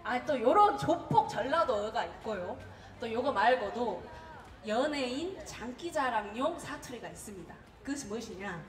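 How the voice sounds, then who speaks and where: A woman in her thirties from Gwangju with a Jeolla accent gives a humorous prepared speech, telling stories in a witty, animated way. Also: on the high side, moderate pace. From Gwangju, South Korea. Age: 30s. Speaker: contest participant. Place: event hall. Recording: roomy hall, distant mic, faint noise.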